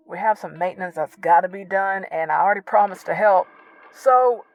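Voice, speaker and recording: Shy, sweet young guy a man acting for audio drama voicemail; high, casual, hesitant delivery over faint music. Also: fairly bright tone, rough recording. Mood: friendly, awkward.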